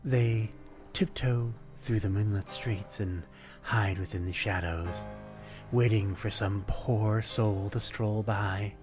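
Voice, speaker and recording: A man's voice: lowish voice, slow pace, darkish tone, faint music behind. A man playing a melancholy, courtly vampire in an intimate ASMR roleplay, speaking politely in old-fashioned phrasing with a predatory undertone. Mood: quietly menacing. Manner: old-fashioned, polite, brooding, addressing one listener intimately. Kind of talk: ASMR roleplay, dramatic monologue.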